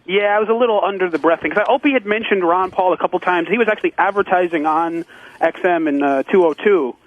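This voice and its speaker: A man's voice: sits high, fast talker. A man phoning in to a radio show, arguing earnestly and casually, insistent and stammering on word starts over a phone line. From United States, Connecticut. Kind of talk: earnest, casual political argument.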